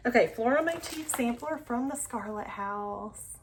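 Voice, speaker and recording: A woman's voice: fairly high pitch, measured pace, slight background noise. A woman hosting a cross-stitch video, chatting casually and with enthusiasm about her projects, sometimes dropping to a near whisper. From Alabama, US. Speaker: cross-stitch channel host. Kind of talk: casual show-and-tell chat.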